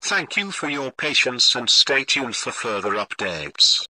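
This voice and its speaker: A man playing a calm, formal television emergency news announcer, reading a scripted bulletin in a measured way. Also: medium pitch, dry recording, full character voice, rough recording. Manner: formal, measured, read-out delivery.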